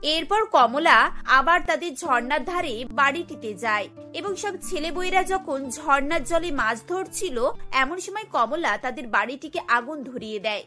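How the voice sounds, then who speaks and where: Very high-voiced female story narrator. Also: lively intonation, measured pace, pushed and bright, faint music behind. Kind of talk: scripted cartoon story narration.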